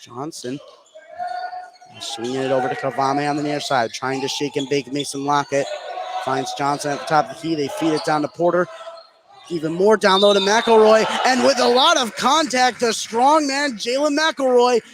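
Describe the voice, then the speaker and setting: A man's voice: fairly high pitch, moderate pace. A man working as a play-by-play announcer, calling a live basketball game in clipped, present-tense phrases that follow the action. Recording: clear gym noise behind the commentary. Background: gymnasium game ambience.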